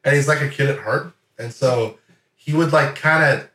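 A man's voice: mid-range voice, moderate pace. A man talking sports casually and animatedly, swearing freely and acting out imagined quotes as he tells his stories. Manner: animated storyteller who acts out imagined quotes, profane. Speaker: podcast host. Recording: roomy echo, distant from microphone.

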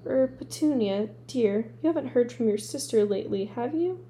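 A woman's voice: fairly high pitch, expressive, moderate pace, dark tone, a little hiss or hum, close to an everyday voice. A woman voicing a nervous, stuffy middle-aged husband who mumbles hesitantly.

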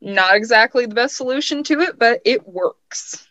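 A young woman with a bright, sharp voice talks frankly and a little exasperated. The recording is dull and lo-fi, and there's no room echo at all. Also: fairly high pitch, animated, normal speed. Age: young adult. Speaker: haunted house general manager. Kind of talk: casual interview chat.

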